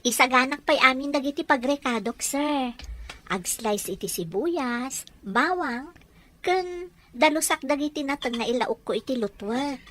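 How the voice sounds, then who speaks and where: A woman as a high, bright young housemaid. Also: a little slow, a little hiss or hum. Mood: friendly, curious. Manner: polite, questioning. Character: respectful, inquisitive young housemaid, devoted daughter. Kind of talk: audio drama dialogue.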